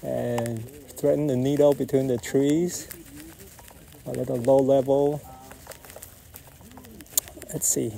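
A man flying a model jet outdoors, calmly calling out each maneuver in short phrases as he flies. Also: mid-range voice, slow pace, creaky, pauses often, noisy background. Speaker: RC jet pilot. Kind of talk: live flight commentary. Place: outdoors at an RC airfield.

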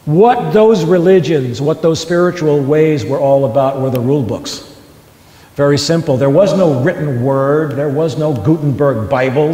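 A man's voice: mid-range voice, warm tone. Impassioned man reading in an echoey hall. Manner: impassioned, building to emphatic peaks. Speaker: public speaker. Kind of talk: live talk reading his essay to an audience.

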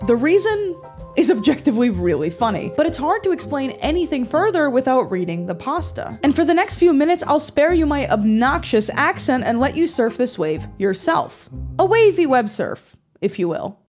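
A young woman presents a scripted video essay in a fairly high, lively voice. Her delivery is sardonic and animated, recorded close on a desk mic, with music running underneath. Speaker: host. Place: small room at home, indoors. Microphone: close desk microphone. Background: background music.

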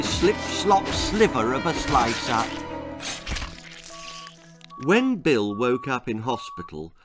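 A man in his sixties reads a children's tale aloud as a storyteller. His delivery is slow and theatrical, with a lively, swooping pitch. He is deliberate and relishes every grisly word. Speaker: author reading his own story. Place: small indoor room lined with bookshelves.

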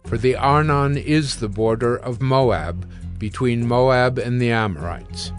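A man narrating scripture from a script in a measured, solemn storytelling manner. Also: medium pitch. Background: soft music.

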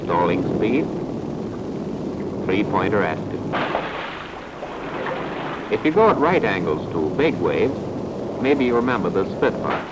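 A man's voice: lowish voice, big pitch swings, measured pace, pauses often. A man acting as an easygoing wartime pilot, informal and matter-of-fact, in a 1940s instructional film. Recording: very noisy 1940s film soundtrack.

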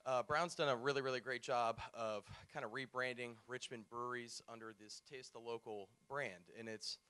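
A man's voice: medium pitch, fairly even intonation, moderate pace, bright, forceful tone, clear, resonant tone. A man on a discussion panel, speaking as a new brewery owner in an easygoing way with fillers.

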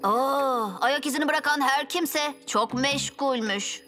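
A woman voicing an excitable six-year-old boy superhero in a children's cartoon, wide-eyed and exclaiming. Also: sits high, takes it slowly, over loud music. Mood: eager, alarmed.

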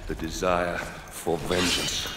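An actor playing a vengeful Roman general, speaking in a solemn, measured, brooding tone. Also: male voice, deep voice, flat delivery, reverberant, big-room sound, heavy background noise. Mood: grim. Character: grim vengeful Roman general, middle-aged. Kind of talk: video game cutscene narration.

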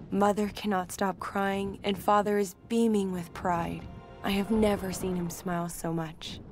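A young priestess, played by a woman in a game, confides in her diary with earnest, measured words. She sounds dutiful yet uneasy, at a middling pitch, with soft music behind her. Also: natural voice. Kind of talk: acted reading of a diary.

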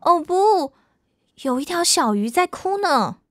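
A woman's voice: very high-pitched, big pitch swings, takes it slowly, a bit bright, a little hiss or hum, heavily acted. A woman playing a friendly storyteller, narrating a children's cartoon with a Taiwanese Mandarin accent. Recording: children's music behind.

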